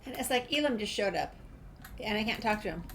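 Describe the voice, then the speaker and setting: Lady doing a game walkthrough, reads lines out, casual comments, mid pitch, faint noise behind. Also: animated, quick pace, a bit bright. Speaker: gameplay streamer. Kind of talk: game walkthrough, reading dialogue aloud with casual asides.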